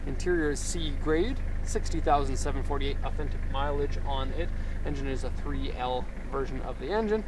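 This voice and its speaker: A man's voice: mid-range voice, normal speed. A man in his thirties giving an upbeat, casual walkaround review of a truck outdoors in a park, recorded on a handheld camera mic. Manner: upbeat and enthusiastic, with laughs. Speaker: car exporter reviewing a vehicle. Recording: outdoor noise behind voice.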